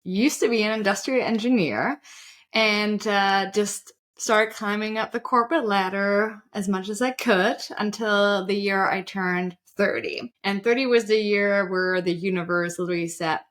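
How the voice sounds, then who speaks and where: A woman in her thirties from Germany, speaking English with a German accent, telling her story as a guest on an interview show in a lively, chatty way full of "like" and "literally". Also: medium pitch, measured pace. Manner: energetic, storytelling, says "like" and "literally" a lot. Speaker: guest, side hustle coach. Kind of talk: conversational podcast interview.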